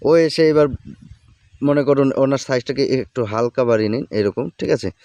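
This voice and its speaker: A man's voice: mid-range voice, brisk, a little mellow, no room sound, lo-fi sound. A man hosting a casual, step-by-step app tutorial, often checking in as he instructs. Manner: repeatedly checks in while instructing. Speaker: tutorial host.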